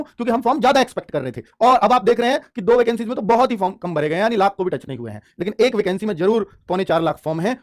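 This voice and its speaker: A man giving an informal explainer lesson as a coaching teacher, emphatic and repetitive, often checking in with his students. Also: sits high.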